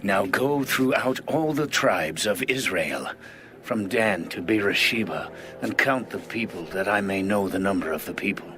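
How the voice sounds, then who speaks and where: A man's voice: fairly low pitch, expressive, unhurried, pushed and bright, slight background noise. An actor playing a commanding ancient king in a dramatized audio Bible.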